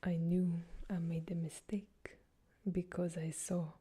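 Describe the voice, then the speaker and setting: A woman softly whispering a string of jokes as a calm ASMR reading. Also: on the low side, slow pace, slightly rough. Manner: soft whisper throughout. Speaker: joke reader.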